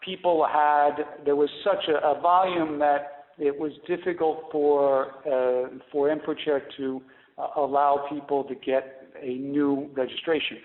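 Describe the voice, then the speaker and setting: A man speaking as a company executive on a conference call, explaining things off the cuff in a halting way with repeated and restarted phrases, over a phone line. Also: fairly high pitch, steady tone. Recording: narrow tinny phone line, roomy echo.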